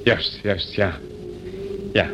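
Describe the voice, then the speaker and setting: An actor playing a fussy, awkward district police inspector in a radio play, officious yet apologetic, stammering and repeating himself. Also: male voice, low-pitched, extremely slow. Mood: officious, uneasy. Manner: hesitant, stammering, apologetic, repetitive. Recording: dull, faintly noisy old radio recording.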